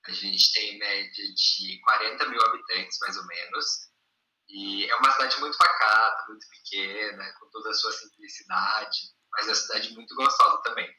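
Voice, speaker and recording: Man in his twenties, lowish bright voice, echoey room. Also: steady tone, normal speed, recorded from a distance. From interior of São Paulo state, Brazil. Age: 20s. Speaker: guest. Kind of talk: casual interview answers.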